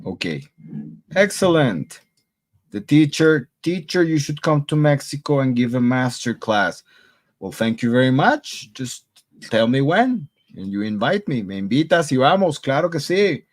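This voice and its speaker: A man's voice: medium pitch, very animated, a little slow. A man teaching an English grammar class live online, upbeat and encouraging, switching between Spanish, English and Portuguese. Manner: repeats praise like muy bien. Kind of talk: live online class reading student answers.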